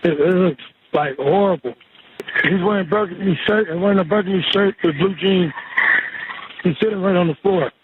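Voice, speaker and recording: A man as a shaken, agitated bystander calling emergency services, halting and pleading over a phone line. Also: high-pitched, noisy background, close to an everyday voice.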